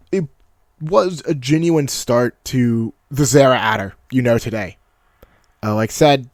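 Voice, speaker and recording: A young man with a deep voice looking back on a game, talking casually and nostalgically with self-mocking asides. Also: no echo, clean studio sound. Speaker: YouTube creator. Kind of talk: reflective personal vlog narration.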